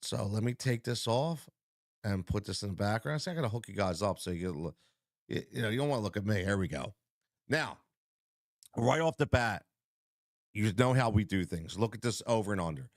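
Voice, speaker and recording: A man hosting a live sports betting show, energetic and repetitive, talking straight to viewers while congested from a cold. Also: on the low side, brisk, no room sound, clean studio sound. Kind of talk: live-stream betting talk.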